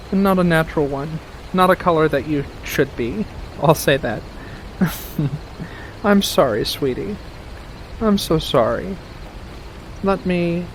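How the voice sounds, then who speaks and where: Guy doing a playful furry witch in asmr, soft spoken n teasing, really slow, outdoorsy noise behind. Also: on the high side, rough recording. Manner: soft-spoken, teasing, unhurried, coddling with endearments. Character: playful, mischievous furry witch living alone in the woods. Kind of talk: ASMR roleplay.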